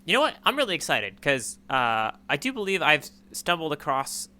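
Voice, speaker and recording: A man's voice: a little high, sing-song, normal speed, pushed and bright. A man co-hosting a podcast, chatting casually with playful, joking speculation. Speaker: podcast co-host. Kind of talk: casual chat.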